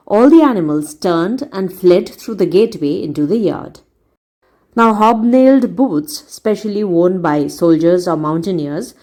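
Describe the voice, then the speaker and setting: Mellow, low-pitched woman reading aloud. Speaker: storyteller and English teacher. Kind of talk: read-aloud narration with word explanations.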